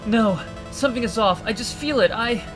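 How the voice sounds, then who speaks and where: A man voicing a frightened, tearful young woman crew member who stammers and speaks haltingly. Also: high voice, music in the background, pitched above the performer's own voice, natural voice. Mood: sobbing.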